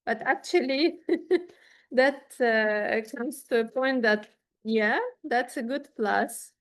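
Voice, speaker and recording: High-voiced woman with a Ukrainian accent. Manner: halting, searching for words, chuckles as she talks. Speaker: interview guest, musician and choir teacher. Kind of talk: casual interview answers.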